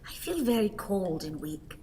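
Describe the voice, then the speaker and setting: A woman's voice: mid-range voice, big pitch swings, unhurried, mellow, breathy edge, in a large hall, full character voice. An actress playing a frail, melodramatic old wife in a radio play, plaintive and genteel in her wordy delivery. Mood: weak, plaintive. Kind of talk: theatrical radio play acting.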